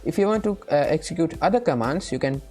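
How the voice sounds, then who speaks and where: A woman with a low voice presents a casual, step-by-step software tutorial. She sounds a little hesitant and often seeks confirmation. Faint music sits behind her speech. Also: lively intonation, fast talker.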